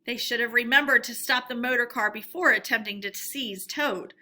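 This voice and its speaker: A woman storyteller reading a storybook aloud in an expressive narrating style. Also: on the high side, normal speed.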